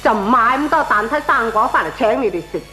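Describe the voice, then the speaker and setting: An actress playing an indignant, sharp-tongued middle-aged head tenant in a comic Hong Kong Cantonese radio drama. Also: female voice, fairly high pitch, expressive, fast talker, soft background music. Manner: indignant, haggling.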